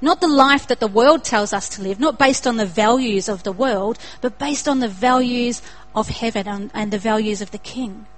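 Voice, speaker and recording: Australian woman, young, sermon, earnest, emphatic repetition, fairly high voice. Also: moderate pace, few pauses. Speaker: preacher.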